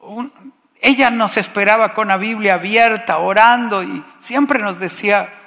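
A man's voice: high-pitched, measured pace, vocal fry, pushed and bright, long reverb tail. A man from Costa Rica preaching a sermon, impassioned and building to exclamations.

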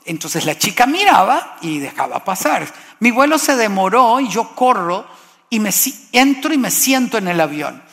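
A man's voice: high-pitched, very animated, moderate pace, gravelly, a bit bright, in a large hall. A man from Costa Rica preaching a sermon, impassioned and building to exclamations.

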